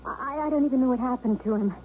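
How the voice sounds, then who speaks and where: A woman's voice: sits high, fast talker, a little hiss or hum, lightly acted. An actress playing a devoted wife anxious about her injured husband, in a 1970s radio drama. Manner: emotional, pleading. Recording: tinny phone-like old radio recording with loud music.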